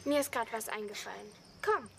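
A woman's voice: on the high side, a little fast, vocal fry. An actress playing a bold, defiant, scheming young girl in a German radio play, bossy and goading. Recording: background noise behind the voice.

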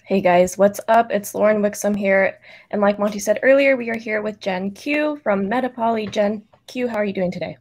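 A woman's voice: medium pitch, normal speed, a little mellow. A woman in her twenties co-hosting an interview over a video call in a casual, chatty style. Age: 20s. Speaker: co-host. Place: indoor room, video call.

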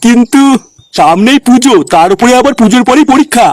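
A man's voice: very high voice, expressive, a little fast, no room sound. A man voicing a polite, hesitant schoolmaster in a comedy cartoon, questioning doubtfully. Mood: reluctant.

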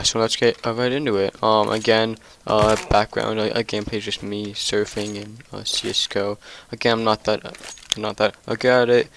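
A man's voice: on the low side, fairly bright tone, slight background noise. A young man hosting a casual gameplay commentary, tired, stuttering often, with many fillers and restarts. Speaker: gaming channel host.

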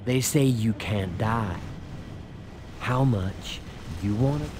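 Casting: sadistic game killer, creepy and taunting, drawn-out lines, noisy rumbling backdrop. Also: male voice. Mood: sinister taunting. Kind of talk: dramatic video game cutscene acting.

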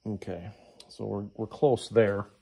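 A middle-aged man with a lowish voice and plenty of creak talks casually through a hands-on job, half to himself. He sounds unhurried, like someone explaining while he works. The recording has light workshop noise and clicks behind him. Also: fairly even intonation. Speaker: DIY engine rebuilder giving a how-to. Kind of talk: casual hands-on tutorial, talking while working. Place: indoor garage workshop.

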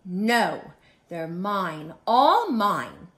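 A woman voicing a grouchy, bossy cartoonish storybook ladybug that shouts and blusters, looking for a fight. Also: on the low side, big pitch swings. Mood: angry, demanding. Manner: shouting, blustering, drawn-out demands.

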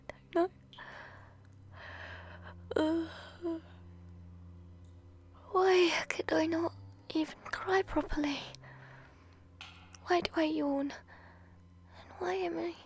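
A woman voicing a frightened young female student held captive, pleading in tearful breathy whispers. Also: very high voice, full character voice. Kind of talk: audio roleplay drama.

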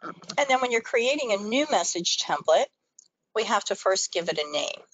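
A woman's voice: medium pitch, big pitch swings, normal speed, fairly bright tone, no room sound, poor audio. A woman presenting a training webinar, explaining things step by step in an instructional screen-share style.

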